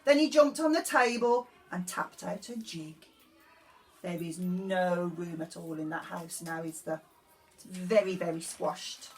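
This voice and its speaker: A woman's voice: on the low side, a little slow, far from the mic, poor audio. A woman reading a picture book expressively to young children, often putting questions to the listener. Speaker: nursery story reader.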